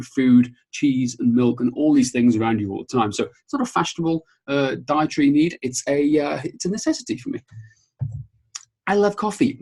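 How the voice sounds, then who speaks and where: A man's voice: on the high side, a little mellow, breathy edge. A Welsh man whose first language is Welsh, a strength coach giving an upbeat webinar presentation and often checking in with "okay?". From Wales.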